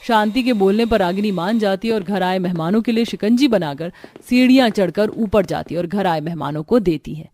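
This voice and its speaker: A woman narrating a scripted animated family drama as a storyteller. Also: medium pitch, brisk, hardly any pauses.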